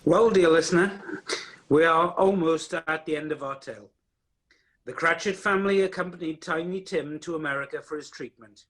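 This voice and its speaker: A man's voice: fairly high pitch, normal speed, a bit bright, rough recording. A man playing the narrator of an amateur radio play, telling the story directly to the listener. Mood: storytelling.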